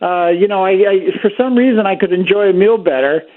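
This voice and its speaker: A man's voice: high voice, fairly quick. Man on a tinny phone line. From Pennsylvania. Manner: easygoing storyteller, relaxed and chatty. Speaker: physician guest. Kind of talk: casual radio chat. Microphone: phone call.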